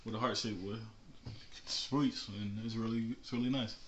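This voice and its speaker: A man's voice: fairly low pitch, steady tone, normal speed. A young man co-hosting a haul vlog with casual, laid-back, joking chat, recorded on camera in a small room. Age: young adult. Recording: noisy, echoey small room.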